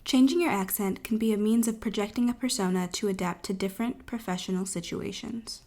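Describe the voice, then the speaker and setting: A woman reading a podcast's scripted narration in a measured, even way. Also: mid-range voice.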